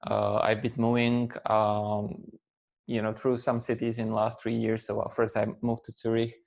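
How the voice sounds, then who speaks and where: A man in his thirties from Slovakia, an English speaker, talking as an interview guest in a halting way with many fillers and restarts. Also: fairly low pitch, completely flat delivery, vocal fry, darkish tone. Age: 30s. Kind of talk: conversational interview.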